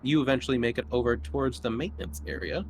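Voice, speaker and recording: A man running a tabletop horror game, narrating casually and haltingly as he feels out each description. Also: medium pitch, expressive, gravelly, slight background noise. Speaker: game master.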